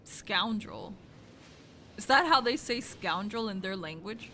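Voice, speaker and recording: A woman's voice: medium pitch, animated, takes it slowly, pushed and bright, slight background noise. A young woman giving casual reaction commentary as a streamer watching a video game.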